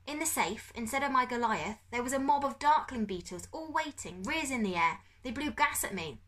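A woman's voice: a little high, expressive, normal speed, fairly bright tone, pitched above the performer's own voice. A woman voicing a broken, tearful captive father. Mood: confused, shaken. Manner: weak, shaken, pleading. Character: frightened desperate middle-aged father, broken, tearful. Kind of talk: audiobook character voice.

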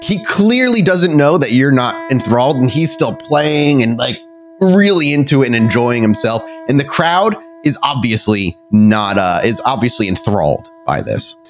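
A man's voice: medium pitch, big pitch swings, moderate pace, soft background music. A man narrating a tabletop role-playing game as game master, descriptive and dramatic with casual asides. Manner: descriptive, dramatic storytelling mixed with casual rules talk.

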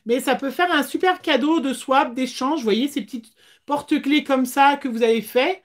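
High-voiced woman chatting. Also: normal speed. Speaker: craft livestream host. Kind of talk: casual livestream chat. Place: small indoor craft room.

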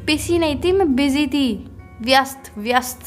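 Young female, Indian accent, highish pitch, casual couple's phone chat, teasing and defensive, faint music. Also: a little slow. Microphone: phone call.

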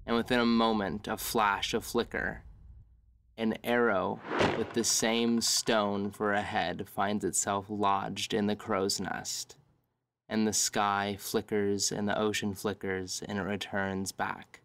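A man narrating a tabletop game as game master, descriptive, measured and dramatic. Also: fairly low pitch, little pitch movement, creaky, fairly bright tone, clean tone, no echo.

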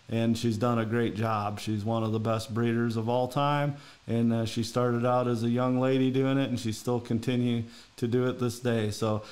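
Middle-aged Minnesotan man, podcast host, mid-pitched voice, rambling, folksy. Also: darkish tone. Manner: rambling, folksy, many fillers. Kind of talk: casual interview chat.

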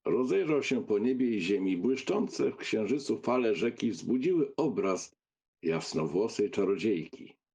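Husky, animated male audiobook narrator. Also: fairly low pitch, unhurried. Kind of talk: expressive scripted literary narration.

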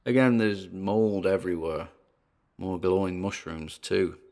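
A male actor plays an uneasy British paranormal investigator in a horror audio drama. His voice sits fairly low with a gravelly creak. He talks like a podcaster on a field report, hesitant and curious, with wry muttered asides. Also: unhurried, dark tone. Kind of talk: found-recording horror monologue, spoken like a podcast field report.

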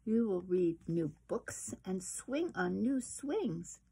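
Lady doing a soothing mama raccoon for a picture book, slow and gravelly. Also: mid-range voice, lively intonation, mellow, exaggerated voice. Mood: gentle, reassuring. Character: gentle, reassuring mother raccoon.

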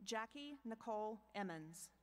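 Measured woman reading graduate names. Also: on the high side, lively intonation. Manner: slow, measured, pausing between names. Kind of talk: formal ceremony name reading. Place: outdoor commencement ceremony. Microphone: podium microphone.

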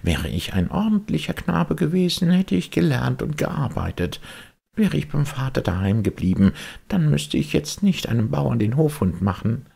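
Casting: naive puppet boy for storybook, very high thin voice, earnest, plaintive. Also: sing-song, moderate pace, creaky, dark tone, breathy edge, exaggerated voice. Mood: remorseful, plaintive.

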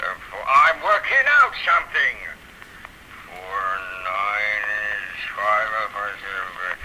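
A man's voice: lowish voice, animated, slow, noisy background. An actor playing a grumpy, careworn old Australian country father in a 1930s radio serial. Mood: preoccupied muttering. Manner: irritable, muttering while adding sums.

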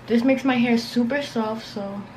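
Young female vlogger, slightly high voice, loose slangy get-ready-with-me chatter, bedroom recording with faint noise. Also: measured pace, a little mellow, rough recording. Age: young adult, around twenties. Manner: slangy, rambling, filler-heavy with frequent "like". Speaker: beauty and lifestyle vlogger. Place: bedroom at home, indoors.

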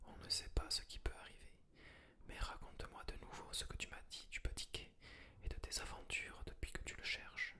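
A reader whispering the lines of a wild, cruel little robber girl from a fairy tale, in the same hushed voice as the narration. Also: moderate pace, natural voice. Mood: teasing. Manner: whispered throughout. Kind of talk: whispered ASMR storybook reading.

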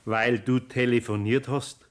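An actor plays an older Bavarian master craftsman in a vintage radio play. He speaks in a mid-pitched voice, unhurried and homely. He is kindly but sly, gently admonishing. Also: fairly even intonation, close to an everyday voice. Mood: probing, stern. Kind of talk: 1960s radio play acting.

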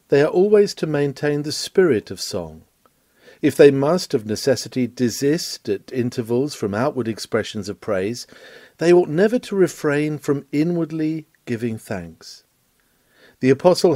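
A man reading an old sermon aloud in a measured, declamatory way, with swelling emphasis. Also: medium pitch, mellow, no room sound. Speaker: reader of a historical sermon.

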